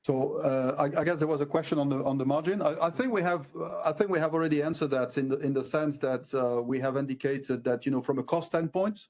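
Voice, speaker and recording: A man answering analysts as a company executive on an earnings call, unscripted, with halting and repetitive phrasing. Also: a little high, a little mellow.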